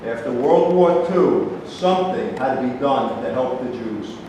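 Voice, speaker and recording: A man's voice: medium pitch, lively intonation, measured pace, warm tone, lightly acted. Man playing a combative, sarcastic debater, very echoey. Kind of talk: staged reading of scripted dialogue. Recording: echoey hall, distant mic, noisy.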